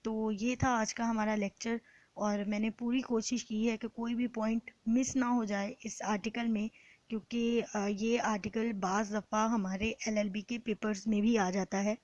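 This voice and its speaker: A woman with a Pakistani accent giving an explanatory lecture in a deliberate, instructive way, repeating points in other words. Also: fairly high pitch, little pitch movement, darkish tone, no room sound, low-quality recording. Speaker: law lecturer. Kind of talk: explanatory exam-prep lecture, reading and translating.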